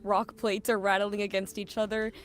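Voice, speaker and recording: A woman's voice: mid-range voice, level tone, moderate pace. A woman casually narrating her character's actions as a player in a tabletop game. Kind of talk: casual improvised role-play narration.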